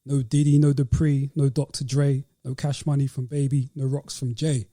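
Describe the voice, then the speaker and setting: A man's voice: mid-range voice, little pitch movement, normal speed, warm tone. A man with a British accent co-hosting a podcast, giving his opinions in casual conversation. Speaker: podcast co-host.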